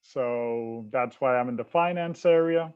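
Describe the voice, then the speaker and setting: A man's voice: mid-range voice, unhurried, clear, resonant tone, phone-quality sound. A man from Puerto Rico whose first language is Spanish, a finance director chatting in a friendly, easygoing way with small hesitations. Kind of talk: casual panel chat.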